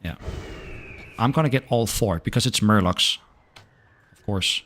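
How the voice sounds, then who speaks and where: A man's voice: fairly low pitch, measured pace, fairly bright tone, a little hiss or hum. A young man from Denmark with a Danish accent, chatting casually with viewers while streaming a game and swearing now and then. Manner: laid-back, swears casually, chats to viewers. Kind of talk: casual gaming stream chat.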